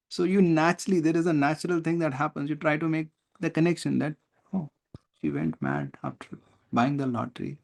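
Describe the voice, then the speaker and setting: A man with an Indian accent teaching a live online lesson, stressing key words deliberately and checking in with questions. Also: a little high, gravelly. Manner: deliberate, emphatic word-by-word stress, rhetorical questions. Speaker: teacher, test-prep coach. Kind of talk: live online lesson, explaining reading comprehension.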